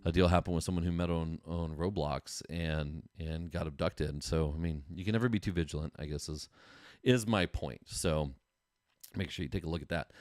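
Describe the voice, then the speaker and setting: A man's voice: rumbling low, normal speed, darkish tone, no room sound, studio quality. A middle-aged American man hosting a podcast in a casual, enthusiastic, slangy solo chat. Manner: informal, enthusiastic, slangy, chatty. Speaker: podcast host.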